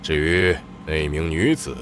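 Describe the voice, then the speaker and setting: Smooth scheming emperor, deep voice. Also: male voice, unhurried, a little hiss or hum. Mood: genial.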